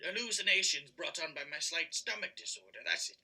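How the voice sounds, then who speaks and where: An actor playing a bitter, nervous old miser in an audio drama, querulous and raspy. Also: male voice, a little high, sing-song, a little fast, sharp tone, faint music behind, heavily acted. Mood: uneasy, dismissive. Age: older.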